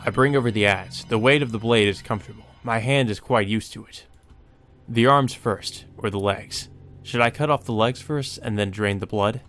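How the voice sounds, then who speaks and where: A man voicing a cold, methodical killer narrating calmly and deliberately in a visual novel. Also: on the low side, lightly acted. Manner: calm, deliberate. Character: cold methodical male killer musing over his ritual.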